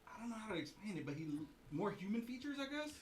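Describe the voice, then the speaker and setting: A man's voice: high-pitched, big pitch swings, quick pace, a loud crowd. A man chatting casually as a co-host, playfully exasperated as he complains. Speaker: podcast co-host. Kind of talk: casual chat. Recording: roomy echo with faint background noise.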